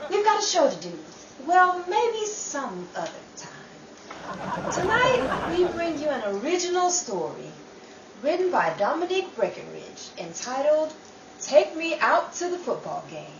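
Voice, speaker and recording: A woman playing a chatty, playful host introducing a theatrical 1930s radio show, with dramatic pauses. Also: high voice, very animated, echoey room, far from the mic, lo-fi sound, a crowd in the background, background noise, exaggerated voice. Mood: playful, teasing.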